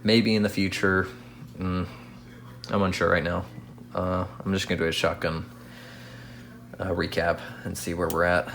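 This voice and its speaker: A man's voice: low-pitched, flat delivery, normal speed, pauses often, a bit bright. A man giving casual hands-on repair commentary, thinking aloud in a hesitant way as he works. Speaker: radio restoration hobbyist. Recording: clear background noise.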